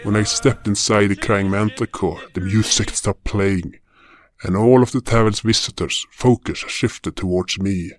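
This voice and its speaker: A man's voice: lowish voice, steady tone, a little slow, natural voice. An actor playing an indignant, impulsive frost guardian beast, telling his fantasy tale in a dramatic, grumbling manner. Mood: brooding, wry. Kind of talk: first-person fantasy story narration and dialogue.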